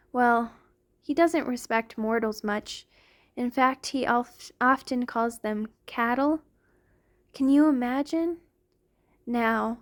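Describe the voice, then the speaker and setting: A woman plays an ancient vampire noblewoman with a fairly high voice. She speaks to her lover in genteel, formal phrases, affectionate and tender, in an intimate ASMR roleplay. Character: tender, aristocratic centuries-old female vampire lover.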